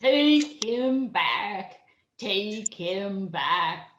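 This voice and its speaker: Squawky parrot, super slow drawn out cries, echoey room. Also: medium pitch, expressive, fairly bright tone, highs cut off. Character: squawking pet parrot of a shark hunter. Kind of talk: comedy musical table read.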